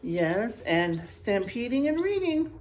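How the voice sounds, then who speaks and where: Animated, high-voiced woman reading to kids. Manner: expressive, drawn-out emphasis on rhymes, exclaiming. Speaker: elementary school teacher reading aloud. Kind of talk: animated picture-book read-aloud with chatty asides to children.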